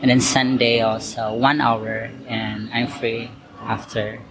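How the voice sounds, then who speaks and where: A man from Cebu talks at an unhurried, drawn-out pace, his phrasing loose and chatty with constant small fillers. His voice sits at an ordinary middle pitch for a man. The recording carries a clear layer of background noise under him. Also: little pitch movement. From Philippines, Cebu. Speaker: interviewee, accountant and Zumba instructor. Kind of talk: casual interview answers. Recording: noisy background hiss.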